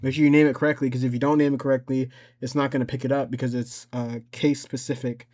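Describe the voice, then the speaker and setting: A man giving an informal step-by-step software tutorial, thinking aloud and somewhat hesitant as he goes. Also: medium pitch, level tone, fast talker. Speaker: tutorial host.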